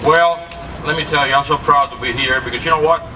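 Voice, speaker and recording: A man's voice: on the high side, fairly even intonation, fast talker, recorded from a distance. Older cuban-accent guy speechifying outdoors, faint from the mic, lots of noise around. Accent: Cuban Spanish. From Cuba. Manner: impassioned, repeating words as he speaks. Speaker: state representative. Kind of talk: rally speech. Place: outdoor protest rally.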